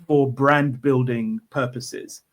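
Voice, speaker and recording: A man's voice: medium pitch, steady tone, takes it slowly. Encouraging man interviewing a guest. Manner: encouraging, repeats words as he sets up questions. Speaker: magazine interviewer, host. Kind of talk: conversational interview.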